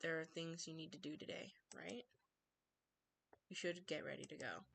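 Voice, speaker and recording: A woman's voice: sits low, normal speed, gravelly, fairly bright tone, husky. A woman streaming a visual novel, switching between casual chat and reading the narration aloud, sometimes stumbling and correcting herself.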